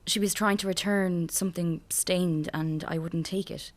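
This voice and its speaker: Actress as guarded young Irish woman, lowish voice. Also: steady tone, moderate pace, close to an everyday voice. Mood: guarded, sullen. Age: young adult. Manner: guarded, apologetic. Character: anxious, downbeat young female shop worker. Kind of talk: naturalistic radio drama acting.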